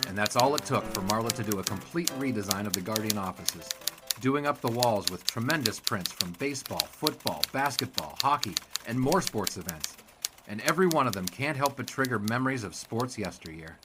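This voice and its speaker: An actor playing a dry-humored 1920s sports writer, narrating in first person in a radio-style audio drama. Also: male voice, lowish voice, expressive, brisk, over loud music, natural voice. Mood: fond. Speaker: narrator and lead character.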